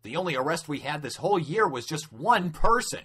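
Man playing a cocky, high-pitched highway speed cop. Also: very animated, fairly quick, fairly bright tone, husky, exaggerated voice. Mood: smug. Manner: smug, showy, theatrical. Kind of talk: amateur anime dub reading.

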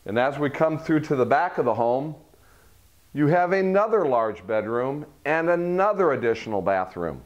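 A man's voice: medium pitch, animated, moderate pace, lo-fi sound. A middle-aged man leading a walkthrough tour of a model home, listing its features in a salesy way. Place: furnished model home, indoors.

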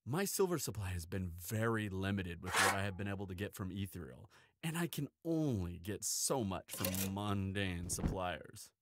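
A man's voice: deep voice, expressive, moderate pace, very noisy. A man playing a cheeky, shrewd weaponsmith in an audio roleplay, with a playful, theatrical delivery. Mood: shrewd, delighted. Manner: playful, mock-wounded, dramatic. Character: cheeky, theatrical male weaponsmith and shrewd old family friend. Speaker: guest voice actor in audio roleplay. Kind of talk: scripted audio drama acting.

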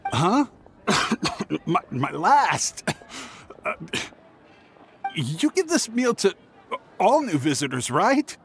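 An actor playing a nervous, shifty young merchant in a fantasy game, hesitant, stammering and evasive. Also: male voice, high voice, sing-song, very slow, creaky, long pauses, a bit bright, heavily acted. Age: young adult. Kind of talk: scripted fantasy game voice acting.

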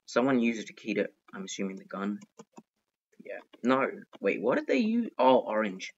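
A man giving excitable, chatty gameplay commentary as a gaming video host, talking to himself and often repeating phrases. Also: fairly low pitch, moderate pace. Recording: dull, lo-fi microphone.

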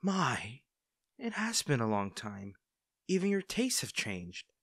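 A man's voice: mid-range voice, wildly swooping pitch, slow, a bit bright, breathy edge, dry recording, clean studio sound, full character voice. A man playing a friendly, caring young barista in an intimate audio roleplay, talking in a casual, hesitant and attentive way. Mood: warm, chatty. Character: friendly, caring young male barista, teasing and easily flustered.

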